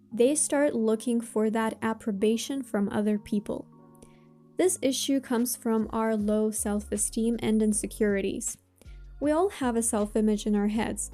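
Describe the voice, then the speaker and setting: A woman with a Russian accent, a native Russian speaker, gives motivational advice straight to viewers in measured, deliberate phrases with emphatic pauses. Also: on the high side, warm tone. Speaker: host of a self-improvement channel.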